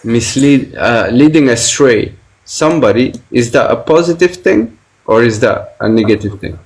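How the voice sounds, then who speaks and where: A man's voice: medium pitch, expressive, moderate pace, rough recording. A man debating online, pressing his point with repeated questions and frequent hesitant fillers.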